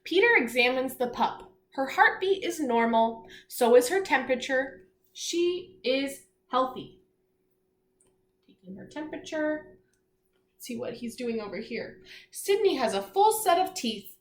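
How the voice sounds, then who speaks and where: A woman teacher reading aloud to young children, pausing to explain simply, ask questions and encourage her listeners. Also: sits high, lively intonation. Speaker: elementary school teacher. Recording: echoey room, faint background noise.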